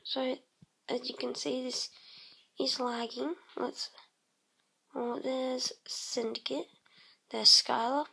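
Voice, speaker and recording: A woman casually narrating her game session, croaky and clearing her throat, rambling with many pauses and humming to herself. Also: high voice, flat delivery, pushed and bright. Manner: slow, rambling, many ums, hums tunes while playing. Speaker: let's play host. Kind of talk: casual gameplay commentary.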